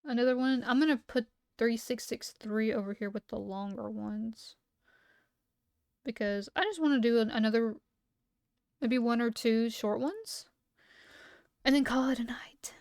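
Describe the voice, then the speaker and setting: A woman livestreamer reading document entries aloud and chatting between them, her voice a little hoarse from talking so much, sometimes skipping text with "blah, blah". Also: fairly high pitch, unhurried, pauses often, darkish tone, no room sound, studio quality. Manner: skips text with "blah, blah" asides. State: losing her voice. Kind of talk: reading entries aloud, then casual chat.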